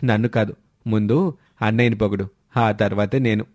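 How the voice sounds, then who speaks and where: A man's voice: fairly low pitch, fairly even intonation, normal speed, a little mellow, close to an everyday voice. A man playing a dutiful grown son in a family story, earnest and respectful. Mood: humble. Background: background music.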